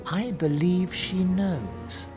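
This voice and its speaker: A man's voice: sits high, extremely slow, over music. A man playing a shrewd, thoughtful medieval archbishop in an audio drama, speaking formally and probingly. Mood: pensive, grave. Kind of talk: historical audio drama acting.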